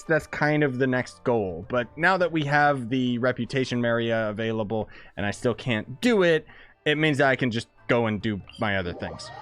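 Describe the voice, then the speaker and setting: A young man casually commenting on a game during a live stream, thinking aloud in a relaxed, chatty way. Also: mid-range voice.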